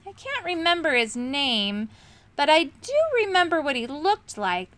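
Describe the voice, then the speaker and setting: A woman doing a squeaky little dragon. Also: big pitch swings, unhurried, pushed and bright, full character voice. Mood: sad. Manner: squeaky and indignant. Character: small squeaky boastful male dragon, touchy.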